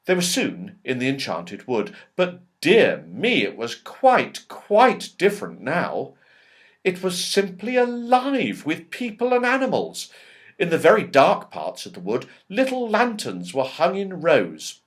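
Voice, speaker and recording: A man narrating a children's storybook as a reader, with expressive delivery, dramatic pauses and strong emphasis. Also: on the high side. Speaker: audiobook reader.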